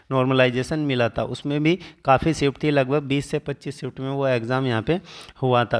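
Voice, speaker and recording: A man speaking as a coaching teacher, explaining an exam update step by step and listing figures. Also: mid-range voice.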